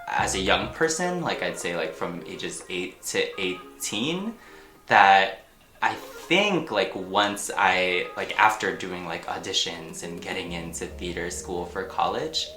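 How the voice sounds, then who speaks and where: An actor and artist speaking casually and haltingly in an interview, telling personal stories with frequent filler words. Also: low-pitched, a bit bright. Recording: echoey room with music underneath. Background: music.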